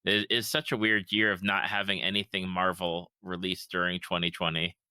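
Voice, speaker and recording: A man's voice: low voice, little pitch movement, moderate pace, pushed and bright, clean tone, no room sound. A man hosting a podcast, asking questions casually with frequent restarts. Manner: conversational, stumbling restarts. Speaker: podcast host. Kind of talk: casual interview chat.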